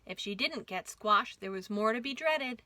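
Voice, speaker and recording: A woman hosting a children's storytime, reading aloud with an expressive, deliberate delivery for young listeners. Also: on the high side, no echo. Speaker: children's librarian and storytime host.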